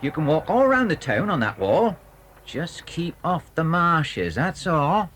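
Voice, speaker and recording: An actor playing a local car driver who warns children in a folksy, ominous way in an audio drama. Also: male voice, a little high, sing-song, unhurried, fairly bright tone. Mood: ominous warning. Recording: noisy old cassette tape.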